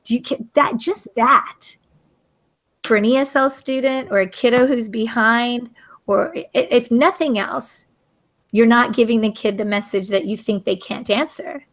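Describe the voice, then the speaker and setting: An earnest woman hosting an educators' video call, her voice sitting a little high. She talks emphatically, often breaking off and restarting her sentences as she thinks aloud. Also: a little slow. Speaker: educator, hangout host and author. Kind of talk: casual video call discussion.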